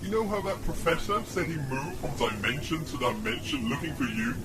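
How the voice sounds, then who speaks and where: An actor playing a weary, solemn man cursed to be a dishwasher, confessing haltingly in an audio drama. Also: fairly high pitch, very animated, loud music behind the voice. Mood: weary, confessional.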